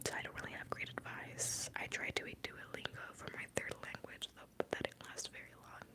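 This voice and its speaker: Young woman whispering closely, native Spanish speaker. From born in Mexico, moved to the US as a young child. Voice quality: breathy whisper. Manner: soft, unhurried, close to the microphone. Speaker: ASMR live streamer. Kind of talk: ASMR whispering, casual rambling and answering chat. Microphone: close, highly sensitive microphone.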